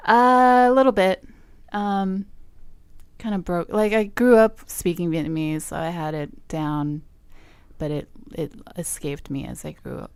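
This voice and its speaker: A woman's voice: lowish voice, steady tone, unhurried, clear, resonant tone, no room sound. A young woman from Southern California, chatting casually in an interview, with lots of likes and ums. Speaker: guest musician.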